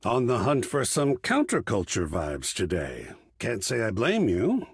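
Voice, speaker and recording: Flamboyant, swooping, fussy art curator. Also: male voice, mid-range voice, huge pitch swings, measured pace, slightly rough, no echo, clean studio sound, exaggerated voice. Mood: dreamy, savoring. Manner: florid, fussy, swooping, savoring words. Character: effusive, refined male art curator, an artwork come alive. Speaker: gallery curator character. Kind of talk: theatrical video game voice acting.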